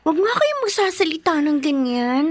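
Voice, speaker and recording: High-pitched schoolgirl, Filipino accent, dutiful, patient, radio drama. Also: sing-song, moderate pace. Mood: caring, gently firm. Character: patient, dutiful schoolgirl daughter of a poor father. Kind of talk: Tagalog radio drama acting.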